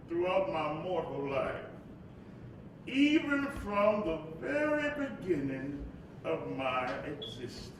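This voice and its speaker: Man as reflective preacher's spirit, very echoey stage. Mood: solemn. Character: reflective spirit of a civil rights preacher narrating his life. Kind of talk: theatrical first-person monologue in a staged reading. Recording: distant echoey hall recording, faint rumbling noise.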